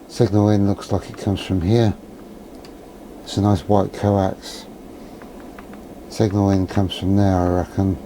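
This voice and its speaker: A man with a fairly low, very mellow voice talks through a hands-on demonstration. He is understated and musing, often asking himself questions as he thinks aloud. There is some faint noise behind him. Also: poor audio. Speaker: electronics hobbyist presenter.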